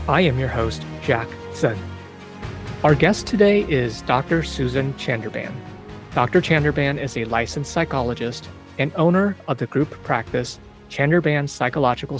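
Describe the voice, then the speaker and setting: Gravelly-voiced male podcast host. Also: medium pitch. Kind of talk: scripted intro then interview questions.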